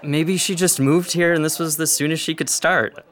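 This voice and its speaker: A man acting in a radio drama as a mild, kindly high-school student, gentle and reasonable. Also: fairly even intonation, normal speed, lightly acted. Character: mild, kindly high-school senior, the sensible best friend.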